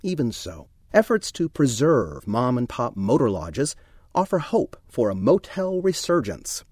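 Husky, expressive male academic. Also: medium pitch, measured pace, dry recording, studio quality. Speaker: professor. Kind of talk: scripted radio commentary.

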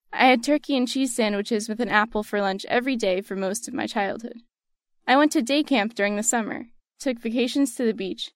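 Young adult woman, General American accent, earnest personal-essay read, slightly high voice. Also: no echo, studio quality. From United States. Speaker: student essayist.

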